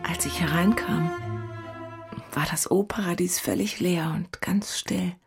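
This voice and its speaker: An actress playing a lovestruck, high-strung city woman in a radio drama, musing and self-mocking with a breathy voice. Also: unhurried, over music. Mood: hushed recollection. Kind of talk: radio drama narration, intimate.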